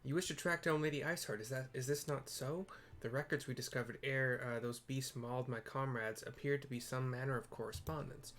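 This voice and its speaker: A man's voice: mid-range voice, steady tone, fairly quick, hardly any pauses, fairly bright tone, close to an everyday voice. Man reading as formal, earnest fantasy knight. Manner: formal, wordy, occasionally stumbling. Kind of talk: archaic fantasy dialogue read aloud.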